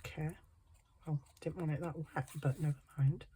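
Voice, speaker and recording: A hoarse middle-aged woman chatting casually to herself while crafting, muttering and poking fun at herself. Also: low voice, steady tone, moderate pace, mellow, slight background noise. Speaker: craft channel host.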